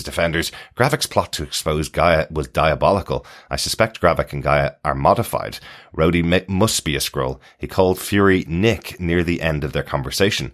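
A man's voice: low-pitched, a bit bright. A man co-hosting a podcast, talking casually about a TV series in an agreeable, enthusiastic manner. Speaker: podcast co-host. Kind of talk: casual TV recap chat.

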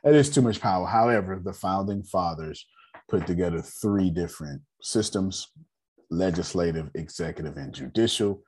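A man in his forties with a raspy voice, hosting a casual group meeting and lecturing in a loose, talkative way. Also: deep voice, lively intonation, normal speed, a little mellow. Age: 40s. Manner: loose, talkative, laughing asides. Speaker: meeting host.

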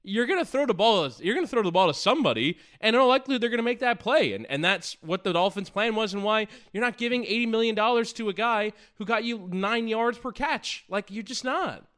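Higher-voiced man discussing sports. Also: lively intonation, brisk, few pauses, fairly bright tone. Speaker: sports podcast co-host. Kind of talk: conversational sports analysis.

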